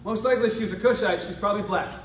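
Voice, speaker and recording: A man preaching a lively sermon, swinging from quiet asides to shouted exhortation and acting out quoted voices. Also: sits high, fast talker. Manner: acts out quoted voices for laughs. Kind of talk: lively sermon with congregation responses. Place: large church hall. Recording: echoey hall, far from mic, congregation. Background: congregation responding.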